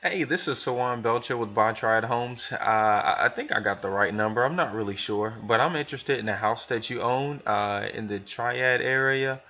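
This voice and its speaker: A man giving a casual, rambling software tutorial for new hires, thinking aloud as he talks through each step. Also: mid-range voice, normal speed, few pauses, muffled recording. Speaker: business trainer demonstrating software to new hires. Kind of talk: casual screen tutorial, talking through steps.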